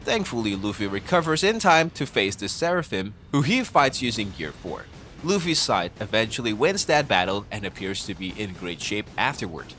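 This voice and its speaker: A man's voice: lowish voice, sing-song, moderate pace, a bit bright. A man reading scripted narration for an explainer video, recorded as a voice-over. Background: background music.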